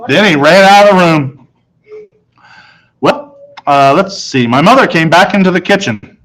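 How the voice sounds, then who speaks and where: A man's voice: sits high, expressive, unhurried, a bit bright, poor audio. A man reading a children's story aloud as a first-person narrator.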